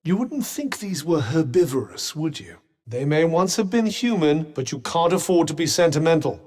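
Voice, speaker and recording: A man's voice: on the high side, very animated, normal speed, darkish tone, big-room sound. An actor voicing a sardonic male magic bracelet companion in a game, with dry, witty, teasing quips. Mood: wry. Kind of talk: game voice lines.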